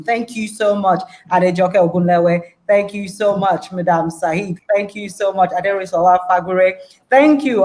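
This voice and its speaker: Female event host, Nigerian accent, mid-range voice, formal yet gushing presentation for an online session. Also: medium pitch. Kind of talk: formal, effusive event hosting. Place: online video call.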